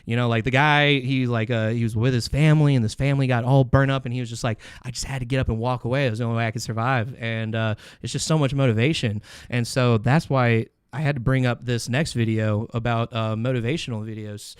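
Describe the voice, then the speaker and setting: A man's voice: mid-range voice, steady tone, quick pace, hardly any pauses, smooth, no echo, clean studio sound. A man hosting a comedy podcast, rambling casually through stories with lots of swearing and jokey bro slang. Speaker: podcast host.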